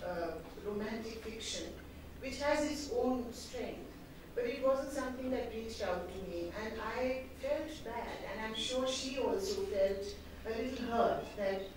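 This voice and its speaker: An older woman, a Hindi speaker, speaking in a measured, reflective way as an author in conversation. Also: fairly high pitch. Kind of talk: reading aloud then conversational talk. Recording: echoey hall picked up from far off with background noise.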